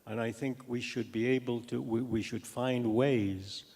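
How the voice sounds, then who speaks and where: An older man speaks slowly and deliberately, with a gravelly creak in his lowish voice. He halts as he builds his academic points, and a long hall reverb trails behind him. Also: fairly even intonation, darkish tone. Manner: measured, halting, deliberate. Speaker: professor giving a talk and taking questions. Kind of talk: academic lecture and answers to audience questions.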